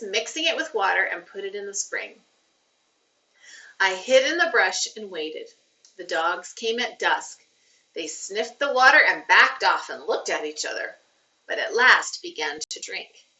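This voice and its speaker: Female storybook reader, teacherly, higher-pitched, recorded slightly away from the mic. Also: pushed and bright, distant mic. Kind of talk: read-aloud narration of a children's novel with informal commentary. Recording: slightly dull sound, off the microphone.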